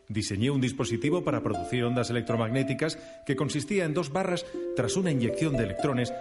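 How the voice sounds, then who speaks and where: An actor playing a proud, courteous physicist who lectures, in a comic radio play. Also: male voice, medium pitch, natural voice. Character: lecturing male German physicist Hertz, proud but courteous. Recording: loud music under the voice.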